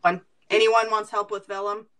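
Bright-toned, helpful woman. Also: mid-range voice, little pitch movement, a little fast. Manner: helpful, matter-of-fact. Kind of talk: casual video call panel chat. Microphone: video call webcam.

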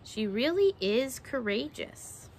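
A woman's voice: fairly high pitch, very animated, slow, a little mellow, a little hiss or hum. A young adult woman reading a children's picture book aloud expressively, dramatizing the verses and putting questions to her young listeners. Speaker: read-aloud storyteller. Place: outdoors on a wooden backyard deck.

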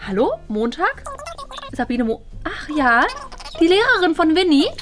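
A fussy, determined mother played by a woman with a high voice, in a family web drama. She sounds fretful and insistent, with light music behind her. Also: big pitch swings, unhurried. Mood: flustered, polite.

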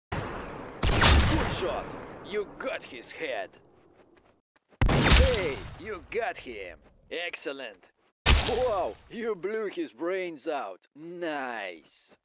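An approving combat announcer with a Russian accent acted by a man for a game voice pack. He is extremely slow, with a highly animated pitch, through heavy noise. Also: exaggerated voice.

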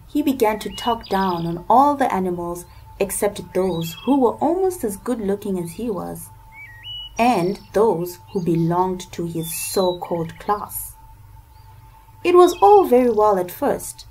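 Slow-paced woman storyteller, expressive. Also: mid-range voice, poor audio. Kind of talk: scripted folktale narration for children.

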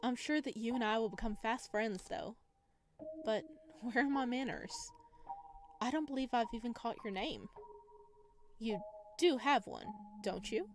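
A woman's voice: a little high, measured pace, pauses often, music in the background, lightly acted. Woman voicing a sweet, clingy children's show host. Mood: coaxing. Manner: coaxing, soothing, over-friendly.

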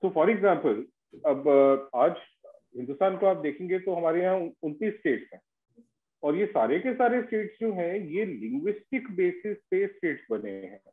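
Fast-talking Indian man on a tinny call line. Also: on the high side, sing-song. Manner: rapid, conversational, with fillers. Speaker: panelist. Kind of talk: panel discussion on a video call.

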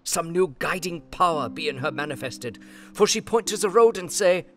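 A man reading a journal in an audio drama, speaking earnest, halting, foreign-phrased English. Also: a little high, lively intonation, fairly bright tone. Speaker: narrator reading an elderly professor's journal. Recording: music bed under voice.

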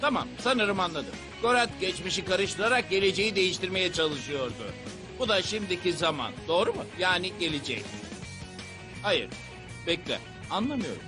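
A goofy young cartoon hero with a high, very animated delivery. He is brash and excitable, easily muddled, and there is music behind him. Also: normal speed. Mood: confused. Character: excitable, goofy young male robot-piloting slacker hero. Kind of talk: Turkish cartoon dub acting.

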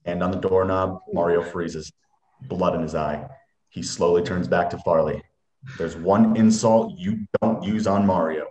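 Man, lowish voice, scripted narration, stage directions, echoey home video-call recording. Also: fairly even intonation, a little slow, a little mellow.

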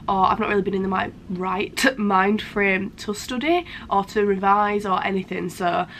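A young woman, chatting casually to camera in her bedroom, slightly croaky from a cold and full of "like" fillers. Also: medium pitch, moderate pace, a bit bright, slight background noise. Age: young adult. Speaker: vlogger. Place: bedroom at home, indoors. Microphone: camera in front at close range.

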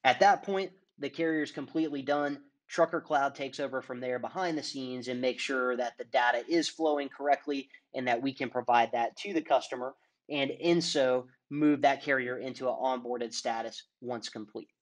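A man talks through a software demo over a screen share. He explains each step in a steady, clear presenter's manner, and his voice sits at an everyday middle pitch. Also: moderate pace. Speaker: product demonstrator.

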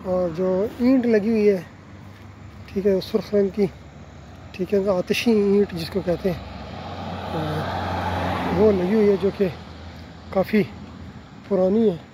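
A Pakistani man with an Urdu accent narrating a walking tour of a quiet residential street, politely pointing out houses as he films on his phone. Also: high voice, fairly even intonation, background noise. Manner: polite, unhurried tour-guide commentary with frequent "ji" and "theek hai na". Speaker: travel vlogger. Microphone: handheld phone camera while walking.

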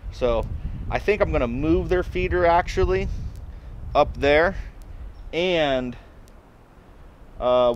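Bright-voiced American man, folksy vlogger. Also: on the high side. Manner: folksy, colloquial. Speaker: homestead vlogger. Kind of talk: casual chat to camera. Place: outdoors in a yard and in a garage. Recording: background noise, wind outdoors, birds.

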